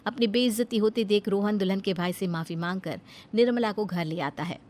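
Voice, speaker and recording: A woman's voice: medium pitch, a little fast, darkish tone, slight background noise. A woman reading scripted narration for a cartoon family story as its storyteller.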